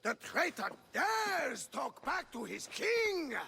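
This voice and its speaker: An actor playing a melodramatic stage narrator in a video game, announcing with theatrical flourish. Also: male voice, very high voice, big pitch swings, takes it slowly, creaky, pushed and bright, shouting, a little hiss or hum, full character voice. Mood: dramatic, goading.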